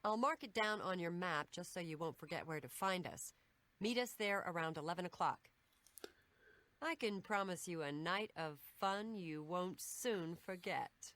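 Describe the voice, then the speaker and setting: An actress playing a sultry, coy Nordic seductress in a video game, coaxing and flirtatious in a deliberate way. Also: female voice, lowish voice, a bit bright. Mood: inviting. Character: sultry Nord temptress luring a man, coy. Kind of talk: video game character dialogue.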